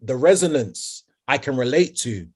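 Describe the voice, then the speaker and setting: A man with a nasal voice co-hosting a music podcast in casual, animated chat. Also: mid-range voice, moderate pace, a little hiss or hum. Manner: animated, repeats "do you get me". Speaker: podcast co-host.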